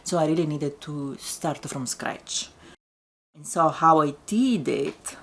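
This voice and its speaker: A woman whose first language is Italian speaks English with an Italian accent, low and slightly gravelly in tone. She talks haltingly, stopping often to search for words. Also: a bit bright. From Italy. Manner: halting, full of pauses and fillers. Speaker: writer and teacher. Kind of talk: reflective interview.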